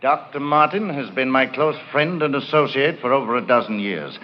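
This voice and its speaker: An actor playing an earnest doctor testifying formally and emphatically in a 1940s radio courtroom drama. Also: male voice, medium pitch, normal speed, lightly acted. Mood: indignant. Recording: echoey, dull, noisy old radio recording.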